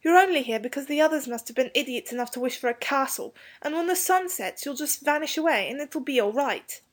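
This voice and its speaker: Storybook schoolboy, acted by a woman, high voice, eager, earnest, slangy, flustered but polite. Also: expressive, moderate pace. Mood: desperate, indignant. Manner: earnest, boyish slang, stumbling over words. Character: eager, hasty young English schoolboy, flustered but courteous. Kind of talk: storybook character dialogue.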